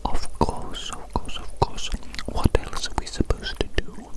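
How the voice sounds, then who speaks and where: A woman acts out an evil genius scientist entirely in whispers for an ASMR roleplay. The delivery is theatrical and grandiose, with words and phrases repeated obsessively, as if fixated on every experiment. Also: a little slow.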